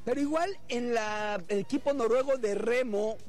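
Brief: high-voiced male analyst, calm and reasoned. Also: soft background music. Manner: reasoned, deliberate. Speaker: football analyst. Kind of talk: measured analysis.

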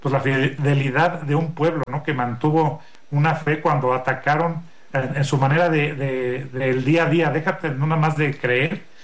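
A man's voice: fairly high pitch, steady tone, moderate pace. A man, a film producer answering a radio interviewer, hesitant and full of fillers. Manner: hesitant, lots of fillers and restarts.

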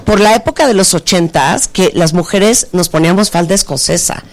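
A woman's voice: lowish voice, moderate pace. A middle-aged woman with a Mexican accent hosting an interview in a playful, flirtatious, exclamatory way. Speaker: show host.

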